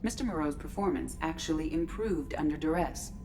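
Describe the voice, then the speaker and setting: An actress voicing a calm, precise starship artificial intelligence in a video game, formal, measured and detached. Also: female voice, low-pitched, over music. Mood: detached, curious.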